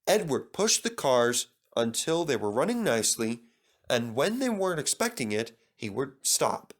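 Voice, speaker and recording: A man's voice: medium pitch, very animated, moderate pace. A man reading a children's story aloud as a scripted narrator.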